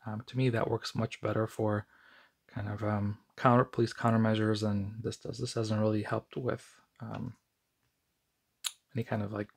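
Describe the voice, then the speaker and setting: An American man giving a casual, unscripted product review in a conversational, somewhat halting way, with a gravelly, husky voice. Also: fairly low pitch, flat delivery.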